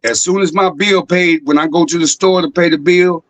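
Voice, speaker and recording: Man, casual stream host, halting with fillers, dry close mic. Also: a little high, fairly even intonation, no room sound, poor audio. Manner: rambling, halting, many fillers. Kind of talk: casual chat on a live stream.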